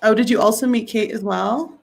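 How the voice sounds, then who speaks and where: A woman's voice: a little high, fairly quick, gravelly. A woman hosting a live writing sprint, chatting casually and reading viewer comments aloud with easy laughs. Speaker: livestream host of writing sprints.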